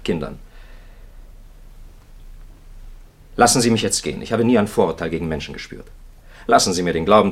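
An actor playing a weary, principled priest in a 1950s radio play, impatient and plain-spoken, with dry, earnest retorts. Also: male voice, lowish voice, lightly acted. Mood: resigned, earnest.

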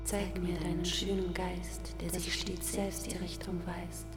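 An actress playing an arch, playful narrator who recites rhymed verse in a sing-song, tongue-in-cheek way in a radio play. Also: female voice, lowish voice, level tone, measured pace. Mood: teasing. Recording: music under the voice.

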